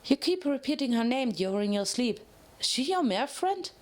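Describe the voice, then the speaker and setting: Bouncy female cartoon-style pony played by a woman reader, chatty and affectionate, pitched a little high. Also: very animated, normal speed. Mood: perky, chatty. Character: bouncy, cheerful, clumsy female pink pony inmate. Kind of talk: dramatic fan fiction reading.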